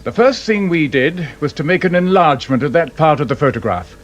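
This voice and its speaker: A male actor plays a dryly sarcastic British investigator in a radio drama. He explains with pedantic, theatrical relish and teases as he goes. His highish voice swings freely in pitch, over some background noise. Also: expressive, brisk, dull audio, natural voice. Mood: wry, instructive.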